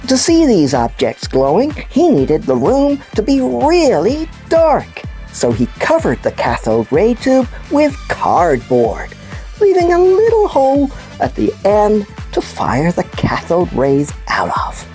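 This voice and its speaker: A woman voicing an enthusiastic puppet news presenter who explains science to children in an animated, emphatic storytelling way. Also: mid-range voice, takes it slowly, faint music behind. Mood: intrigued storytelling. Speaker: kids' show host. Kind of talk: scripted children's educational presenting.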